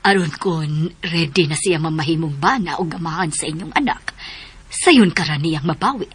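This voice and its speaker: A Filipino woman in a radio drama playing an older, anxious, persuasive lady of the house with a husky voice. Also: sits low, lively intonation, moderate pace, heavily acted. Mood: earnest, persuasive. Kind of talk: Philippine radio drama acting.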